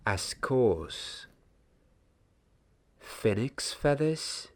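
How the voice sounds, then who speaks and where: A young man playing a gentle, mysterious wizard wand maker in a soft, half-whispered ASMR roleplay, speaking deliberately in short phrases. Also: medium pitch, big pitch swings, full character voice. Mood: instructive, reverent. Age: 20s. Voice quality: hushed, breathy, half-whispered. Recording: audible background hiss, small clicks.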